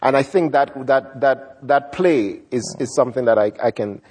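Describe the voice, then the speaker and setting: A writer who grew up in Ghana, answering interview questions in a reflective, unhurried way, often repeating words as he thinks. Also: mid-range voice, a little mellow, slightly rough. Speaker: writer and guest.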